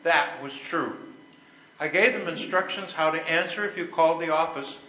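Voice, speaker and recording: A bright, forceful voice carries through an echoey room. It is an older man acting an earnest, self-important husband in an amateur stage play, a little high-pitched and declaiming his lines as he tries to make peace. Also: moderate pace. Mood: earnest, pleading. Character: earnest, self-important but conciliatory husband, a factory vice president. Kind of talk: readers theater stage play. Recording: echoey room with clear background noise.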